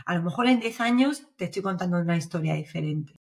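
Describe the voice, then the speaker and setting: A woman's voice: lowish voice, lively intonation, fast talker, darkish tone. A young woman from Spain with a Spanish accent, explaining her views as a guest in a casual podcast interview and often checking in with her listener. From Navarre, Spain. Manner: explanatory, checks in with frequent tag questions. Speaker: guest, developer advocate.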